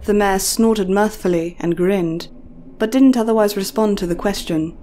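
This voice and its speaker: A woman's voice: mid-range voice, moderate pace, mellow, a little hiss or hum. A woman reading scripted story narration as the narrator of a tale.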